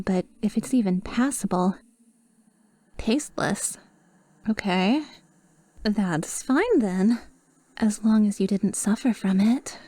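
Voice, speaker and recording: A woman playing a sweet, possessively devoted guardian angel in a roleplay ASMR monologue, with soft, breathy, often whispered speech and formal, flowery wording. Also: medium pitch, no room sound, close to an everyday voice. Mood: grateful, adoring. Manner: formal, flowery, slow and adoring.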